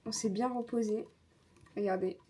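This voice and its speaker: A woman's voice: medium pitch, steady tone, normal speed, background noise. A young woman from France chatting casually in a travel vlog, slangy and laughing often. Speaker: vlogger. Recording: music over the audio.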